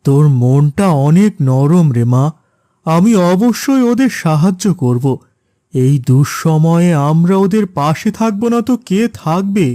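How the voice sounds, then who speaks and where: A man voicing a gentle, patient middle-aged father in a cartoon. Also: a little high, animated, measured pace, no echo, clean studio sound. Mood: supportive. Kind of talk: cartoon voice acting.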